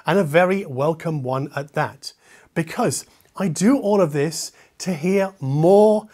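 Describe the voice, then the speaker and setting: A man's voice: a little high, slow pace, mellow. A middle-aged man hosting an audio channel, explaining things to camera in a conversational, emphatic way with muttered asides, recorded on a lavalier mic in a living room. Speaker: audio review channel host. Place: treated living room indoors. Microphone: omnidirectional lavalier.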